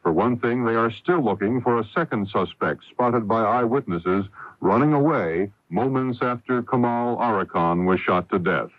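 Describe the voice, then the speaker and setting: A man's voice: lowish voice, expressive, moderate pace, no echo. An American man giving a scripted news report as a radio correspondent, on a dull vintage broadcast.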